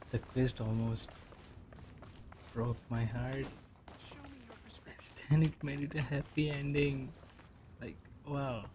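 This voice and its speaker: Slow, sparse casual game reaction commentary. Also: mid-range voice, fairly even intonation, background noise. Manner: sparse, muttered short remarks to self. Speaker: gaming video creator. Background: game music.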